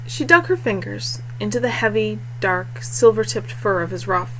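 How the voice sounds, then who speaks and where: A woman's voice: mid-range voice, very animated, moderate pace. A woman in her thirties reading fiction aloud expressively, like an audiobook, recorded at webcam distance in a small room. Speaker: author reading own fiction. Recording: noisy home webcam recording with hum.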